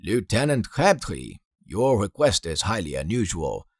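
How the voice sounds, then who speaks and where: Audiobook officer, male reader playing formal senior soldier, low pitch, slightly husky, measured. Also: big pitch swings, no room sound, studio quality, heavily acted. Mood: formal, respectful.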